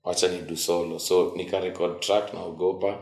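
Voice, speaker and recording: A Kenyan man in his forties, a musician guest telling stories in a relaxed way, switching between English and Swahili slang. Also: low voice, brisk, vocal fry. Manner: relaxed storytelling, code-switching. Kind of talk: casual interview in Sheng and English. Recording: roomy echo.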